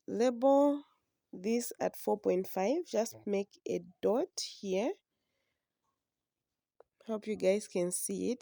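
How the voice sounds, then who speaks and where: A woman, hoarse from a flu, patiently explaining a sewing pattern step by step. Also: medium pitch, warm tone, no room sound, studio quality. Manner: slow, patient instructions. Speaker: sewing instructor. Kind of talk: step-by-step drafting tutorial.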